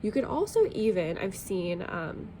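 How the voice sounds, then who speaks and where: A woman's voice: mid-range voice, sing-song, a little slow, mellow, a little hiss or hum, low-quality recording. A woman art teacher talking through a drawing tutorial in a friendly, chatty way, a bit sniffly from allergies, with plenty of ums.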